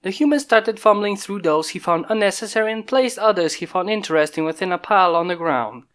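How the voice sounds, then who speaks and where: A man reading a fan story aloud in steady, plain narration. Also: sits high, fairly quick, smooth. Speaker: fanfic reader. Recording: mic pops from a failing microphone.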